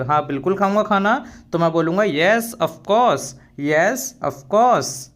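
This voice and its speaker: Young male tutor, fairly high voice, unhurried, drills phrases twice. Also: a bit bright. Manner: explains in Hindi, repeats English phrases very slowly. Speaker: English teacher. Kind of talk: spoken English lesson.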